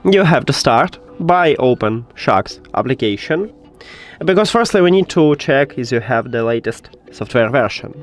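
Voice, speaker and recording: A man who speaks English as a second language, hosting a step-by-step tech tutorial in a halting, instructional way. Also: mid-range voice, expressive, faint music behind. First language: not English.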